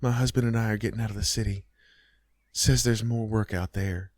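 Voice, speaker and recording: A man voicing a kind, sad middle-aged woman nurse who talks softly and confidingly. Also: fairly low pitch, steady tone, brisk, close to an everyday voice. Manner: soft, confiding, conspiratorial.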